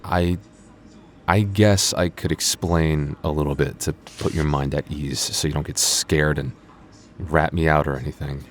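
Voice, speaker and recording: A man's voice: a bass voice, steady tone, a little slow. A man acting a flustered young vampire store clerk in an audio roleplay, stammering nervously and awkwardly. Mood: sheepish explaining. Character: flustered young male vampire working convenience store night shift. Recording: faint background noise.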